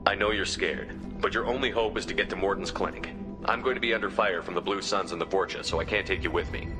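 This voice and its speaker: An actor playing a resolute, commanding military hero in a video game, firm and direct. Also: male voice, deep voice, fast talker, big-room sound, music in the background, close to an everyday voice. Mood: firm, reassuring.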